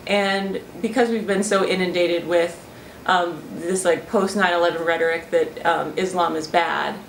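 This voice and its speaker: A woman's voice: lowish voice, fairly even intonation, moderate pace, slight background noise. A young woman explaining her research in an interview, talking thoughtfully with many fillers and restarts. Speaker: student researcher. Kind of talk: interview talk. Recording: roomy and a little distant, faint ambience.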